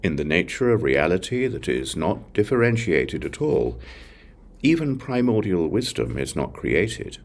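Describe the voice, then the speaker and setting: A man reading a Buddhist scripture as an audiobook, reciting in measured, solemn short phrases. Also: low-pitched, big pitch swings, creaky. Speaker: audiobook reader.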